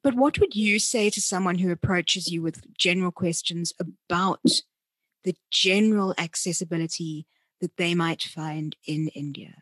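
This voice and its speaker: A woman's voice: lowish voice, normal speed, fairly bright tone, no room sound. A woman hosting a conversational interview, reflective and rephrasing as she goes. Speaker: podcast host, interviewer.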